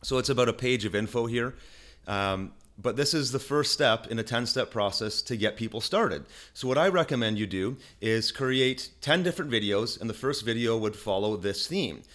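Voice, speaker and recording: A man with a deep voice giving a casual screen-recorded tutorial. He talks in an energetic, chatty way, restarts his sentences and keeps asking "okay?". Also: normal speed. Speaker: online marketing instructor. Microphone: desk microphone.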